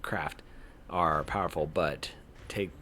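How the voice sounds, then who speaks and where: A man's voice: sits low, fairly even intonation, slow, pushed and bright. A man narrating a visual novel aloud as a streamer, stumbling over words and rereading them. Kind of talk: live read-aloud narration of game text. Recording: clear background noise.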